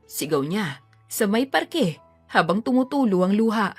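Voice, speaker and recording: A woman's voice: medium pitch, big pitch swings, measured pace, slightly rough, no room sound, soft background music. A woman reading a scripted romance story as a dramatic narrator.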